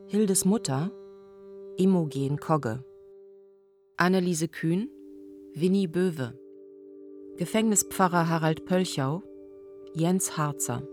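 Low-voiced, measured female announcer over music. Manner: measured, sober. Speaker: announcer reading radio play credits. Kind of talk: scripted announcement.

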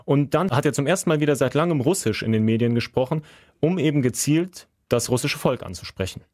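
A man co-hosting a podcast talks things through calmly, plain and matter-of-fact. His voice sits at an ordinary middle pitch, recorded close with a dry, dead sound. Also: a little fast, no echo. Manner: calm, plain explaining. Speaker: podcast co-host. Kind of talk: casual explanatory chat.

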